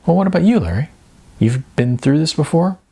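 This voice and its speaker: A man's voice: on the high side, expressive, a little fast, vocal fry, mellow, slightly rough, voice pushed up, full character voice. A man reading a novel aloud, voicing an earnest, shaken young woman with faltering speech. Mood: troubled.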